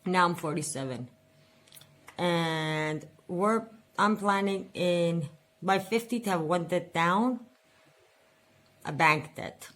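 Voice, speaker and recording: A woman's voice: on the low side, takes it slowly, long pauses, a bit bright. A woman in her forties venting and rambling in a casual personal budget vlog, repeating words for emphasis. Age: 40s. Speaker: budget vlogger.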